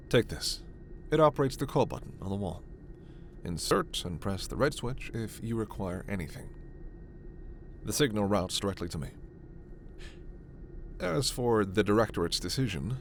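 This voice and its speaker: A man playing a gentle, authoritative alien doctor in a sci-fi ASMR roleplay, speaking in a measured, formal and reassuring way. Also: low-pitched, slight background noise. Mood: regretful, reassuring. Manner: measured, formal, reassuring, soft-spoken asides. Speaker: voice performer.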